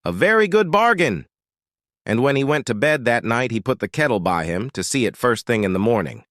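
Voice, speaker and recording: A man narrating a folktale as a storyteller, expressive and dramatic, with deliberate emphasis. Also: lowish voice, dry recording, studio quality.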